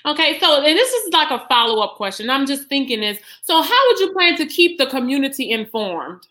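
A woman's voice: high voice, sing-song, brisk, bright, forceful tone. A woman moderating a candidate forum, asking questions casually with lots of fillers and summing up answers. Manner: casual, many "like" fillers, checks understanding. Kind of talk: interview questions.

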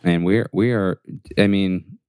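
A man with a very low, deep voice co-hosts a laid-back podcast, riffing on wordplay with a relaxed, playful manner. He sits close on a dry mic with no room sound. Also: slow, smooth, no echo. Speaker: podcast co-host. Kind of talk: casual podcast chat.

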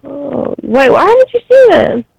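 A woman's voice: sits high, very animated, normal speed, creaky, darkish tone, low-quality recording. A woman in her twenties in a casual podcast chat, playful and teasing, swearing freely. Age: 20s.

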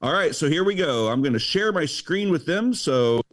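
A man's voice: a little high, lively intonation, moderate pace. Upbeat man hosting a podcast. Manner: upbeat and explanatory, walks listeners through the rules. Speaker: podcast host running a draft lottery. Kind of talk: casual podcast chat. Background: music playing behind him.

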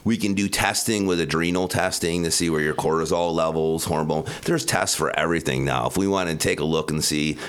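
Guy w a low creaky voice, health expert guest just explaining stuff casually in an interview. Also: fairly quick, hardly any pauses. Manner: informal, explanatory. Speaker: guest health expert, functional medicine director. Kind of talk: conversational interview answers.

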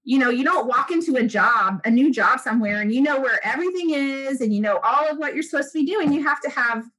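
Woman, high voice, earnest chatty guest talking frankly with plenty of "you know"s. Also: lively intonation, fast talker, fairly bright tone, breathy edge. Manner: earnest, chatty, lots of fillers. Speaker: guest, breastfeeding expert. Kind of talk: casual interview talk.

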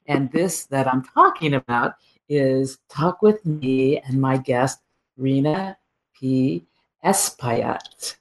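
A woman hosting a radio interview show, chatty and warm, laughing often and thinking aloud. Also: rumbling low, slow pace, poor audio. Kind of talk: casual interview chat.

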